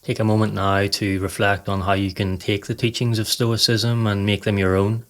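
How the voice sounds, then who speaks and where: A man narrating a scripted guided meditation in a calm, measured, instructive way. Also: on the low side. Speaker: podcast host and meditation guide.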